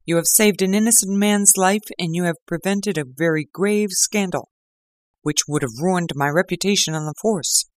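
A man voicing a gruff, excitable male police inspector in an audiobook reading, loud and blustering. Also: moderate pace, no room sound, studio quality, natural voice. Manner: loud, blustering, high-pitched.

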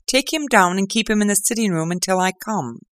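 Man putting on a blustering inspector, raised high voice, loud, dry sound. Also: lively intonation, fairly quick, dry recording. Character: gruff excitable male police inspector. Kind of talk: audiobook character reading.

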